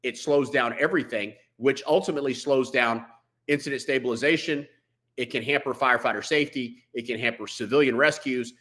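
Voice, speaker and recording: A man's voice: medium pitch, fairly even intonation, brisk, fairly bright tone. A man speaking as a veteran firefighter in a conversational interview, with a blunt, colloquial and emphatic manner. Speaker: veteran firefighter, podcast guest.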